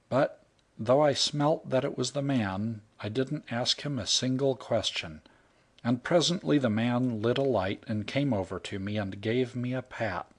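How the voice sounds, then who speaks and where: A man narrating a humorous short story in the first person, wry and even. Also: mid-range voice. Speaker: audiobook narrator. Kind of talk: scripted narration.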